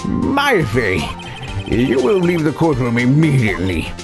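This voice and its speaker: A man's voice: medium pitch, huge pitch swings, measured pace, over music, voice pushed up. A man voicing an older male courtroom judge from a video game, flustered yet authoritative, with an official, declamatory delivery. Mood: reluctant, official.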